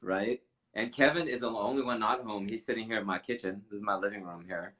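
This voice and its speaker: A man's voice: lowish voice, fairly even intonation, brisk, fairly bright tone. A man in his forties hosting a casual livestream chat from his living room over a video call, upbeat and chatty, slightly nasal from allergies. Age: 40s. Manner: upbeat, chatty, reads comments aloud. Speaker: host.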